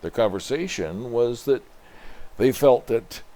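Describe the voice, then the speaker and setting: A man's voice: mid-range voice, animated, a little slow, mellow. An older man from Canada narrating a comic book aloud as a storyteller. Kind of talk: read-aloud narration with introduction.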